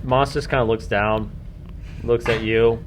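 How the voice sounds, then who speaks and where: A man's voice: medium pitch, steady tone, a little slow, a little hiss or hum. A man acting as game master, improvising casual, conversational narration for a tabletop fantasy game.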